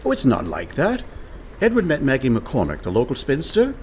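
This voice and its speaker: A man's voice: mid-range voice, huge pitch swings, moderate pace, a little mellow, a little hiss or hum. An actor playing a cheerful, scheming and overconfident con man in a comic radio play, breezy and persuasive. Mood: confident.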